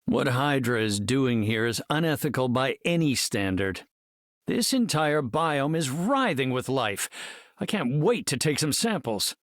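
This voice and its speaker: An actor playing a brilliant middle-aged scientist superhero in a video game, articulate, formal and earnest in his measured delivery. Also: mid-range voice, expressive, a bit bright, no echo, clean studio sound. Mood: earnest, thoughtful. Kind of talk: scripted game character voice lines.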